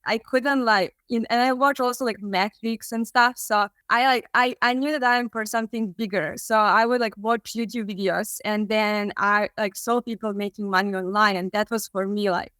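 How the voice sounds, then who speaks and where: A woman from Serbia with a Serbian accent, speaking English as a second language in a halting interview chat, full of "like" fillers. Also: medium pitch, fairly even intonation, fairly bright tone, clean tone, no room sound. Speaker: guest, student.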